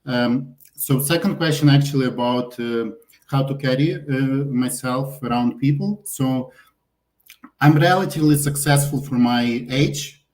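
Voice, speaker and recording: A man in his thirties who immigrated to Canada, calling in with a question and speaking haltingly, with many uhs. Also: medium pitch, darkish tone. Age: 30s. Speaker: caller. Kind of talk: live call-in question.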